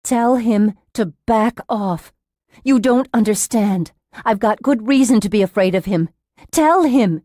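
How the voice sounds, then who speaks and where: Female narrator as frightened woman patient, angry, defiant, emphatic, voice raised high, close dry audiobook sound. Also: expressive, a little slow, no echo. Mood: frightened, urgent. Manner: forceful, emphatic outbursts.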